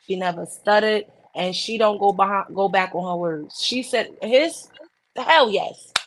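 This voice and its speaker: A woman's voice: mid-range voice, a little slow, background noise. A woman co-hosting a casual podcast, blunt and fired up, swearing as she argues her point. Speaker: podcast co-host. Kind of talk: heated casual chat.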